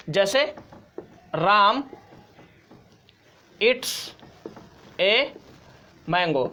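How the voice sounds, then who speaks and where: A man's voice: high-pitched, a bit bright. A man giving an informal tutorial as a teacher, explaining step by step and repeating key points. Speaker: grammar teacher.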